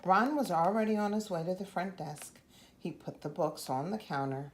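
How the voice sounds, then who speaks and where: Very animated woman reading a storybook aloud. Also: lowish voice, moderate pace, a little hiss or hum. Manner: animated, acts out the dialogue. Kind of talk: expressive read-aloud narration.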